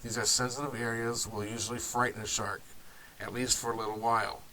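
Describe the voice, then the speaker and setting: Male host, stuffy nasal voice from a cold, lowish pitch, bright edge, faint hiss. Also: rough recording. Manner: loose and offhand, with restarts and asides. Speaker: video series host. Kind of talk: informal talk to camera.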